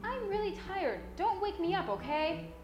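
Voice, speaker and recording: A woman's voice: extremely high pitch, big pitch swings, moderate pace, echoey room, highs cut off, music in the background, pitched above the performer's own voice. A woman voicing a small, sleepy cricket houseguest in a children's storybook, childlike and singsong. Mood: sleepy, polite.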